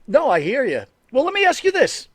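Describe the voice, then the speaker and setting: Host: man, animated pitch, cheeky probing interviewer, dry close mic. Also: brisk, no echo. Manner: joking, probing questions, hesitant fillers. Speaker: podcast host. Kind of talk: casual interview chat.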